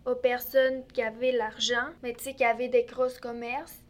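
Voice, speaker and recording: Young woman, in her french, kinda high voice, pretty monotone, lots of euh and restarts. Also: normal speed. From Mexico. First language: Spanish. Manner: hesitant, many restarts and fillers, soft laughs. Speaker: interviewee. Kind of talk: casual personal account.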